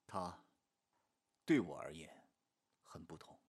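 A man playing a cool, guarded imperial official, terse and quietly domineering, in a Chinese costume audio drama. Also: low voice, lively intonation, slow, slightly rough, exaggerated voice. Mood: cool, quietly menacing. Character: cool, commanding male imperial court official, possessive and guarded.

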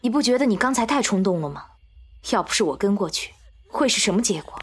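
A woman's voice: mid-range voice, expressive, fast, a little hiss or hum, natural voice. A woman playing a cool-headed, commanding survivor leader in a zombie audio drama, stern and decisive. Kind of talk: Chinese fan audio drama acting.

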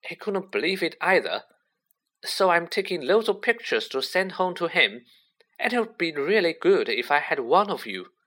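A man acts out an eager little schoolboy in a storybook. He is high-pitched and lively, gushing in awe as if short of breath with excitement. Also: measured pace, few pauses, exaggerated voice. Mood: eager, awestruck. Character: small, eager, awestruck schoolboy fan with a camera.

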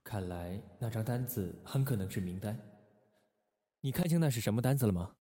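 An actor playing a suave, calculating male intelligence station chief in a Republican-era spy radio drama, speaking in a smooth, measured, teasing manner. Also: fairly low pitch, animated, dark tone, no room sound, studio quality. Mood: pondering.

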